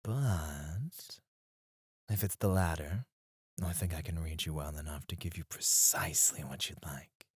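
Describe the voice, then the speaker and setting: Guy doing a flirty male escort for asmr, deep slow voice, teasing and seductive, super close and dry. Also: dry recording, full character voice. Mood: playful, coaxing. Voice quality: breathy. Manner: slow, teasing, seductive, often dropping to a breathy murmur. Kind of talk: ASMR roleplay, intimate close delivery. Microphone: close studio microphone, dry.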